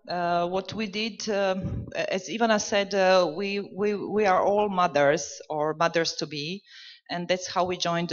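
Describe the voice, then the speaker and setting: Hesitant woman panelist in a hall. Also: lowish voice. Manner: hesitant, many fillers. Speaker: panelist from a parents' organization. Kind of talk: conference panel answer. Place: conference hall. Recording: dull, reverberant hall recording.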